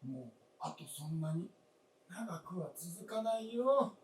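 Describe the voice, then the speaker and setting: An actor playing a world-weary, self-doubting old widower in a staged radio drama, with brooding, terse, old-fashioned husbandly speech. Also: male voice, high-pitched, expressive, slow pace, a little hiss or hum. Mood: resigned, bitter. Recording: echoey stage, distant mic.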